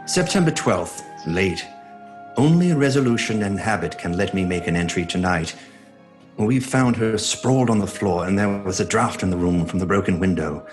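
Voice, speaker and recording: Sombre low-voiced doctor reading his journal. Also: lively intonation, soft background music. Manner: measured, sombre, literary. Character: earnest, devoted male doctor narrating his journal. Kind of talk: dramatic narration, horror audio drama.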